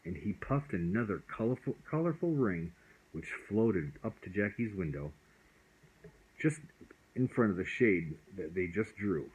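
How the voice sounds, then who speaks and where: A father narrating a bedtime story in a gentle, loving, unhurried way. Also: lowish voice, animated, slightly rough, muffled recording.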